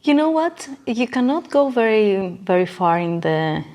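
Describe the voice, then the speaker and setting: A woman's voice: mid-range voice, sing-song, unhurried, darkish tone. Earnest woman explaining as podcast guest. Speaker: podcast guest, business coach. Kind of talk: reflective conversational interview.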